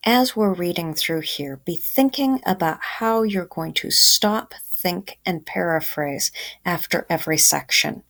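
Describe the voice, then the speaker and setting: A woman's voice: lowish voice, unhurried, fairly bright tone. A woman teacher giving children a reading lesson, explaining simply and instructively.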